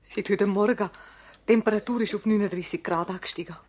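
Radio drama: woman playing worried, stubbornly pleading mother, medium pitch, roomy sound. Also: fairly even intonation, fast, a little mellow, lightly acted. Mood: pleading, afraid. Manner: imploring, stubborn. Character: anxious, pleading mother of a sick child. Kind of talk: German-language radio drama acting.

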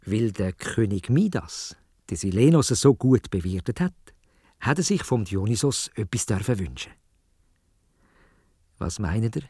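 A man with a Swiss German accent telling a children's story in a dramatic, expressive way, speaking directly to young listeners. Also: fairly low pitch, unhurried, long pauses, breathy edge, no echo, clean studio sound. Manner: dramatic, addresses young listeners with questions and exclamations.

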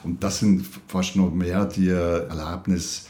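A man's voice: low voice, flat delivery, slow pace, a little mellow, a little hiss or hum. A man from Switzerland chatting and reminiscing casually as an interviewer in conversation. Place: at a table with food being served. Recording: clear clatter of dishes and cutlery.

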